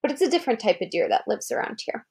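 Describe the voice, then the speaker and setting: A woman's voice: a little high, sing-song, quick pace, gravelly, darkish tone, slightly rough. An American woman reading a children's article aloud like a parent, expressively, with explanatory asides and questions to check understanding.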